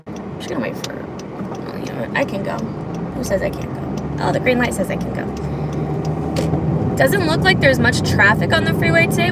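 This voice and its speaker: Woman losing her voice, chatty vlog from the car, lots of road noise. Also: mid-range voice. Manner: chatty, rambling with restarts. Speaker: vlogger. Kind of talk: casual chat while driving. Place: car on a freeway. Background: loud car road noise.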